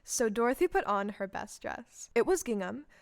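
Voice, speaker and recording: A woman playing a measured, gentle storybook narrator in a scripted audio play. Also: fairly high pitch, expressive. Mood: descriptive. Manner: measured, clearly phrased.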